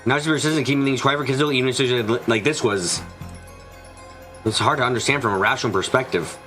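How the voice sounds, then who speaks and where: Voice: mid-range voice, fast talker, fairly bright tone. A streamer reading a mystery visual novel aloud as narrator, with small stumbles and rereadings over music. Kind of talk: fan dub narration of a mystery game.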